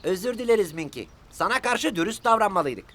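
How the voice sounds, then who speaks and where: Woman voicing a sincere, very animated cartoon friend. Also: mid-range voice, a little fast, lightly acted. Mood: apologetic. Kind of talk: children's cartoon voice acting.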